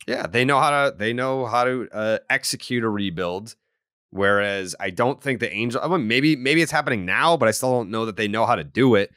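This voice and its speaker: A man's voice: medium pitch, a little fast, fairly bright tone, no echo, clean studio sound. A man hosting a baseball podcast, chatting casually. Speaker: sports podcast host.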